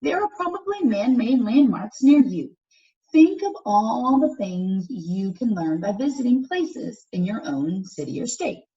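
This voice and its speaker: Female teacher, children's read-aloud, animated intonation, deliberate instructional delivery, muffled lo-fi recording. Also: a little high. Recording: dull, distant microphone pickup.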